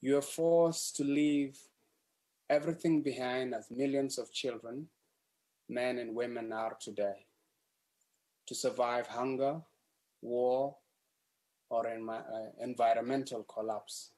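A young man from South Sudan speaks at an unhurried, measured pace as he puts a prepared question. His delivery is earnest and a little formal, picked up by a webcam on a video call from his home. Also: mid-range voice, steady tone, warm tone, rough recording. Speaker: activist asking a question. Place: home room.

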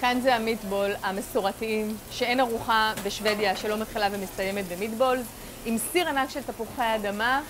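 A woman interviewer narrating a restaurant feature in a brisk, lively voice-over. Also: on the high side. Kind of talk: documentary voice-over.

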